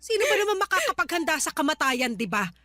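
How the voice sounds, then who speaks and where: A woman playing a blunt, nagging, tactless elderly mother in a Filipino radio drama, scolding with a sharp tongue. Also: very high-pitched, big pitch swings, quick pace, no echo, heavily acted. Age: older.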